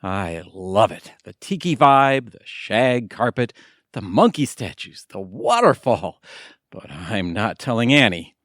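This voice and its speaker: A man acting in a comedic audio play as an excitable, scheming retired husband who bickers in a theatrical, exasperated way. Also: fairly low pitch, a little slow, no room sound, clean studio sound. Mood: dreamy, excited.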